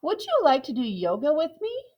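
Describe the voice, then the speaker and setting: A woman voicing a cheerful, gentle male bunny who loves yoga, speaking in a bright, encouraging way. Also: high voice, huge pitch swings, a little fast, lo-fi sound, pitched above the performer's own voice. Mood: friendly.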